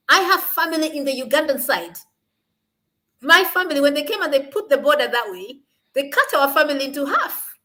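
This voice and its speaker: A young Kenyan woman with a fairly high voice speaks out at a panel discussion. She talks with conviction and stresses her main points, pushing up to loud, forceful peaks. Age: young adult. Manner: emphatic, rising to forceful peaks on key points. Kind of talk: impassioned panel talk.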